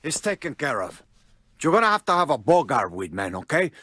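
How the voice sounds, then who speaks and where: A man's voice: fairly high pitch, big pitch swings, fairly quick, bright, forceful tone, soft background music. An actor playing a brash, hot-tempered male drug lord in a video game cutscene, with a profane, swaggering way of talking. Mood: cocky. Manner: swaggering, profane, cursing constantly.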